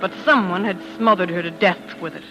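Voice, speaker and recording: An actress playing a shaken young wife who narrates a murder discovery in a hushed, dramatic 1940s radio style. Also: female voice, lowish voice, brisk, dull audio, lightly acted. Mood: haunted, tense. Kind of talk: 1940s radio acting, first-person suspense narration. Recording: old radio recording with music underneath.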